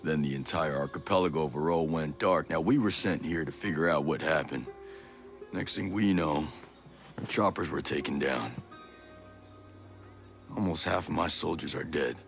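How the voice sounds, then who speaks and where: An actor playing a commanding special forces officer in a video game cutscene. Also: male voice, rumbling low, normal speed, vocal fry, pauses often, soft background music. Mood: grave, businesslike.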